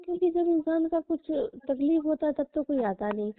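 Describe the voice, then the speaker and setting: A woman's voice: high-pitched, animated, fairly quick, dry recording. Plaintive young woman on a tinny phone line. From rural Uttar Pradesh, India. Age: young adult. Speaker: girlfriend on a personal phone call. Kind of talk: casual intimate phone conversation. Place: phone call recording. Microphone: telephone.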